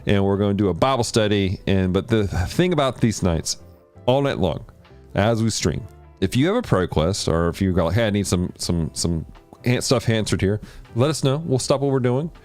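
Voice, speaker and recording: A man hosting a livestream of video games and Bible study, chatting casually with viewers and teaching in an animated way. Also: moderate pace, soft background music. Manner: animated, jumps in pitch when greeting chat. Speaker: host of a gaming and Bible study stream.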